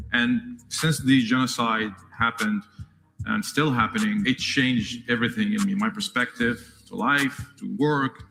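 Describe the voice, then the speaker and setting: An earnest man from Palestine speaks at a mid pitch, circling back and restarting his sentences as he goes. His voice carries a long reverb tail, as if the recording was made in a big hall. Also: pushed and bright, a little hiss or hum. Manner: earnest, repetitive, restarts often. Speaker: tech founder interviewed. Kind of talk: earnest talk about his platform.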